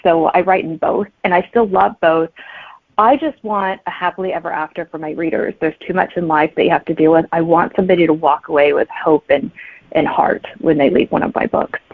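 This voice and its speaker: A woman's voice: on the low side, brisk, creaky, no room sound. A woman answering casually as a guest author in an interview. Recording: thin, phone-like connection.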